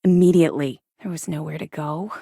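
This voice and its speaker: Seasoned female military officer for sci-fi game: authoritative, clipped, lower register, close dry recording. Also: steady tone, a little fast, vocal fry, dark tone, breathy edge, no echo, full character voice. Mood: dry, guarded. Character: stern, seasoned female space-navy officer, reformed ex-pirate. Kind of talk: video game voice acting.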